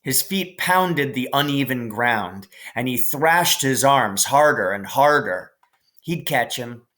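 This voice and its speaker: Slow-paced man narrating a novel. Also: medium pitch, expressive, fairly bright tone. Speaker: audiobook narrator. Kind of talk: scripted narration of a children's novel.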